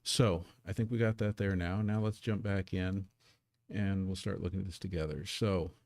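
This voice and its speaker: A low-voiced man with a gravelly edge, a native English speaker teaching Korean in a live stream. He talks casually and modestly and often repeats a word while he gets set up. His voice sounds dry and close. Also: steady tone, moderate pace, darkish tone, no room sound. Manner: casual, self-deprecating, repeats words while setting up. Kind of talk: live-streamed language lesson.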